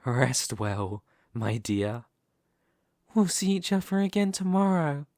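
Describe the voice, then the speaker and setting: A man playing a smug, possessive demon king in an ASMR roleplay, speaking slowly and deliberately with a teasing, condescending manner. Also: fairly high pitch, very animated, a little mellow, no room sound, clean studio sound, exaggerated voice. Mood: calm, possessive. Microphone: close studio microphone, dry.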